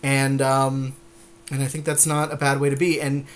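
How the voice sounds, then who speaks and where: Mid-pitched man reasoning aloud. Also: flat delivery, moderate pace. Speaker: podcast co-host. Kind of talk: casual strategy chat during a card game draft.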